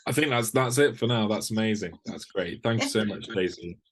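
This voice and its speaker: A man's voice: lowish voice, moderate pace. A man moderating an online panel, politely handing over to guests in slightly hesitant phrases. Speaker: host, moderator. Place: online video call.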